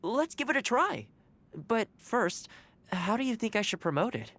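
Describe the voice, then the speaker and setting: An actor playing a gentle, courteous young man in a video game, speaking in a measured, encouraging way. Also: sits high, big pitch swings, a little hiss or hum. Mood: eager. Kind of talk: scripted game character dialogue.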